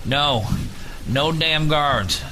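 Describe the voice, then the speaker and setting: A man's voice: a little high, lively intonation, very slow, background noise, voice pushed up. A man voicing a wounded, haunted older Southern prison guard, folksy and stubborn, in an audio drama. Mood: adamant. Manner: folksy, rambling storytelling.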